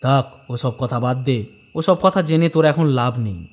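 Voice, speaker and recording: Concerned village uncle for horror cartoon, played by a man: middle-aged, mid-pitched, familiar elder storyteller. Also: unhurried, a little mellow, lightly acted. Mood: reluctant. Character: older village uncle, fish trader, family friend, concerned.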